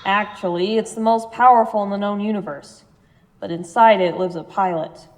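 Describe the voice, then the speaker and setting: A woman playing a calm middle-aged ghost mother in an audio drama, measured, explanatory and dry. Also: mid-range voice, a little hiss or hum, natural voice. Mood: matter-of-fact.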